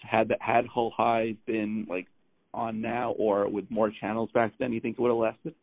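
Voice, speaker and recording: A man hosting a casual interview, laid-back and halting, often giving short agreements. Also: lowish voice, flat delivery, vocal fry, no echo. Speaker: interview host. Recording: tinny phone-like line.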